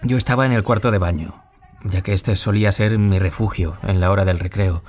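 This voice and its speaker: A man's voice: low voice, steady tone, brisk, darkish tone, lightly acted. An actor playing a wounded young man from Spain who was bullied, confiding his story as an audio-drama interviewee with a Spain Spanish accent. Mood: pained. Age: young adult. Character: wounded young man, former bullying victim, anguished, confiding. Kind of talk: scripted audio-drama monologue in interview form.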